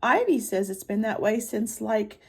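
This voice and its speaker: A woman's voice: fairly high pitch, animated, moderate pace, breathy edge. A woman reading the part of a bossy, scornful eleven-year-old sister in a snappy, know-it-all way for a children's storybook.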